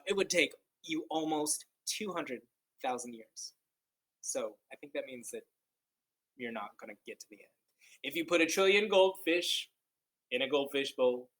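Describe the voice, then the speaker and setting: A man reading a children's book aloud like a teacher, expressive and playful, drawing out key words and dropping in casual joking asides. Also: a little high, poor audio. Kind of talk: children's storybook read-aloud with casual commentary.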